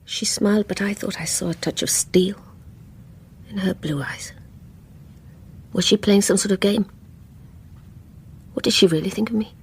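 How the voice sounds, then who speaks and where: Breathy, haunted, guilt-ridden Englishwoman. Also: medium pitch, moderate pace, long pauses, a little mellow. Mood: brooding. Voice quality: breathy, whispery. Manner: intense, brooding, confessional. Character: Christine, a guilt-ridden, obsessive, haunted woman neighbour. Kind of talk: radio drama acting with intimate first-person narration.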